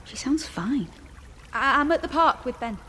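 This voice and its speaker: A woman's voice: high-pitched, lively intonation, moderate pace, bright, forceful tone, a little hiss or hum. An actress playing a guarded, sarcastic teenage girl in a naturalistic dystopian audio drama. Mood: anxious, casual.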